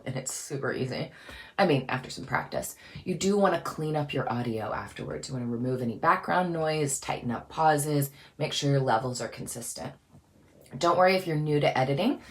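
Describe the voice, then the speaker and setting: Low-voiced upbeat woman coaching voice-over. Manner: upbeat, chatty, lively emphasis. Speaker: voice-over coach and channel host. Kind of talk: casual instructional talk to camera.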